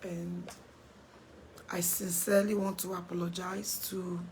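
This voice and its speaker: A woman with a Nigerian accent who has lost her voice, speaking hoarsely and slowly, repeating herself and dropping into whispers. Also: on the low side, level tone, a little mellow, a little hiss or hum. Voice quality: raspy, cracked voice. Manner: slow, halting, repeats herself, drops to whispers. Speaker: vlogger making an apology video. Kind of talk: casual direct-to-camera apology.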